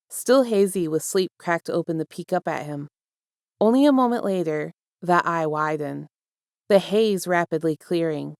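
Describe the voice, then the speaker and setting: A woman's voice: lowish voice, gravelly, a little mellow, clear, resonant tone, dry recording, clean studio sound. A woman reading fan fiction aloud as a storyteller and speaking to her listeners. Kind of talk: scripted story narration, casual outro.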